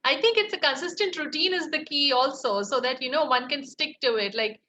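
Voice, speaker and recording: A woman's voice: sits high, fairly quick, bright, forceful tone, a little hiss or hum. A woman taking part in an online class, speaking politely in short fragments over a video call. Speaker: class participant. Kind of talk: casual call chat.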